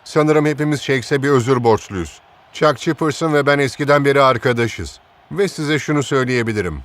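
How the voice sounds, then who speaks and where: Mid-pitched, earnest, easygoing footballer by a man. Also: a little fast, a bit bright, close to an everyday voice. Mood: sincere. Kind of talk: animated cartoon dub acting. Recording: music behind the voice.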